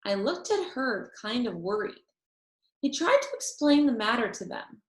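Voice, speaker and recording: Slow-paced woman reading a children's story, echoey. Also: a little high. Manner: animated, deliberate storytelling with drawn-out phrases. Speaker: teacher reading aloud to children. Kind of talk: expressive children's chapter book read-aloud. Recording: roomy, echoey sound.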